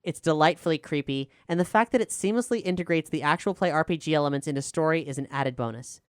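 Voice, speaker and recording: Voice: mid-range voice, fast talker, clear, resonant tone, no room sound, studio quality. A podcast host talking earnestly and articulately in a question-and-answer discussion.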